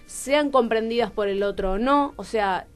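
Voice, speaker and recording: Argentine woman, kinda high voice, playwright chatting openly on radio, asks herself questions and voices the answers. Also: normal speed. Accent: Rioplatense Argentine Spanish. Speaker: guest playwright. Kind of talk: candid radio interview, personal storytelling. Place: radio studio.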